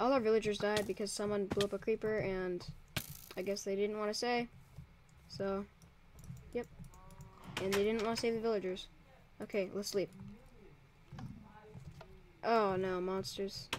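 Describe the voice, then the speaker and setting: A man's voice: high voice, fairly even intonation, moderate pace, long pauses, background noise. A young man, probably a teen, casually commentating a Minecraft let's play as server host, rambling and easily startled. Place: home room by a window. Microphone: desk mic, drifts off it.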